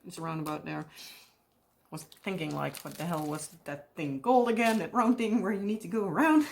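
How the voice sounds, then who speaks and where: A woman's voice: lowish voice, moderate pace, vocal fry, darkish tone, slight background noise. A young woman with a Dutch accent chatting casually in a rambling way, often searching for English words and laughing. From Netherlands. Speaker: craft vlogger. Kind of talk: casual chat while crafting.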